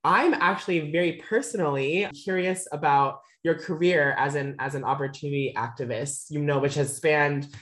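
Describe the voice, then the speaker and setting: A woman's voice: low-pitched, moderate pace, hardly any pauses. Polite, affirming woman interviewer. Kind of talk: interview questions and commentary.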